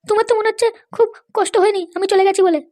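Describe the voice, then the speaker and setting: A woman's voice: very high voice, steady tone, racing, darkish tone. A woman voicing a sulky, lovestruck young bride in a comedy cartoon, gushing and pouting.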